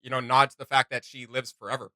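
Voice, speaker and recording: A man's voice: mid-range voice, very animated, fairly quick, sharp tone, no room sound, clean studio sound. A man hosting a casual fan reaction, chatting in an enthusiastic, teasing, slangy way. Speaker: reaction channel host.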